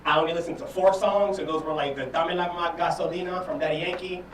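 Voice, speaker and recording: A young man from Orlando telling stories stand-up style in an animated, slangy, profane way. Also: fairly high pitch, quick pace, a little hiss or hum. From Orlando, Florida, United States. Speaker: stand-up comedian, guest. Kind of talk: stand-up storytelling and casual banter. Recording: echoey room, distant mic, crowd.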